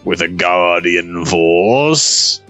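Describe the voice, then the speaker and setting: Man acting sly caring young woman, low pitch, drawn-out deliberate lines, teasing, story character. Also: expressive, fairly bright tone, dry recording, soft background music, heavily acted.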